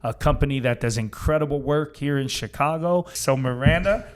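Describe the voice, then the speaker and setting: A man's voice: mid-range voice, normal speed. Chatty male podcast host with a New York accent, upbeat and easygoing. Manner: upbeat, chatty, laughs easily. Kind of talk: casual interview chat.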